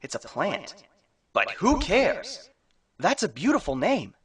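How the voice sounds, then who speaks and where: A man's voice: high voice, huge pitch swings, slow pace, husky, dry recording, exaggerated voice. A man voicing a naive, awkward young mouse hero who is eager and lovestruck, in a parody cartoon dub.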